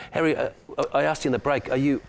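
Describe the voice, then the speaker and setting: A man's voice: medium pitch, a little fast, vocal fry. A middle-aged man hosting a TV interview on a studio couch, rambling with many restarts and fillers. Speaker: talk show host. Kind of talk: TV interview chat.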